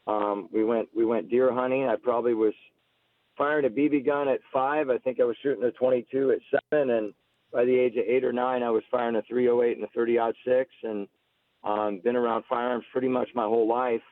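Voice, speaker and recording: A man's voice: mid-range voice, fairly even intonation, brisk, dry recording. Male guest, forties, American, casual personal storyteller on a dry, narrow-band radio call-in. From United States, Alabama-born, grew up near Seattle. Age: 40s. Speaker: guest, political candidate. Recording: muffled lo-fi call-in radio line.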